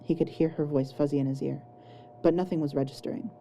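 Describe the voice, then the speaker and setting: Low, very mellow woman narrating. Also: steady tone, fast talker, gravelly, lo-fi sound. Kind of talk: scripted fan fiction narration. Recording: home recording with faint noise.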